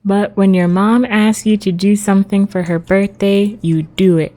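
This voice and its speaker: A woman's voice: mid-range voice, fairly even intonation, normal speed, warm tone. A woman livestreamer chatting casually while she plays a game. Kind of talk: casual game stream chat.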